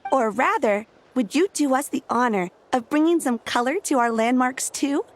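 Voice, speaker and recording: A woman's voice: high voice, expressive, normal speed, slight background noise. A woman playing an eager, effusive young event staffer in a video game, gushing and earnest, with music behind. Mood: gushing, grateful.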